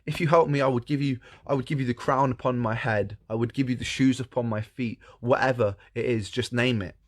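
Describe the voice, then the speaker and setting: A man's voice: mid-range voice, fairly even intonation, brisk, close to an everyday voice. A man voicing a spoiled, dim and overdramatic young princess in a comic fairy tale, pleading and slangy.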